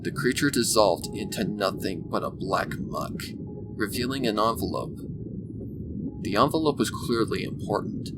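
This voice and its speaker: A man reading a scripted dark adventure story as its narrator, in audiobook style. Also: on the low side, low-quality recording. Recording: music bed behind the voice. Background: loud background music.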